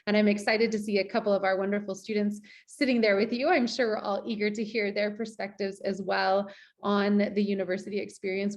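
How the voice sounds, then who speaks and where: A woman's voice: medium pitch, gravelly. A woman hosting a webinar over a video call, upbeat and gracious as she introduces speakers. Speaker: webinar host. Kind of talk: moderating a webinar.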